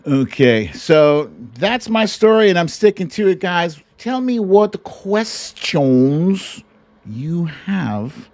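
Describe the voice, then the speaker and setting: A man hosts a livestream storytime in a very animated voice that swings widely in pitch. He takes his time, stretching out phrases in a mocking storybook manner, then slips into casual chat with his viewers. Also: slow pace. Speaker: host of a live online storytime show.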